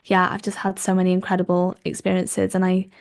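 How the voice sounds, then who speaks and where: A woman's voice: lowish voice, completely flat delivery, a little fast, creaky. A young woman in her twenties from Wales with a Welsh accent, her first language being Welsh, chatting as a podcast guest with lots of ums and likes. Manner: chatty, warm laughs, frequent ums and likes. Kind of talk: casual interview chat.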